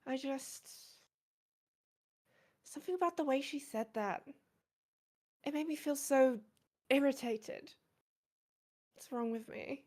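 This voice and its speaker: A woman playing a shy, polite, bookish high school girl with a soft, hesitant delivery in a visual novel game. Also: takes it slowly, long pauses. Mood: distressed.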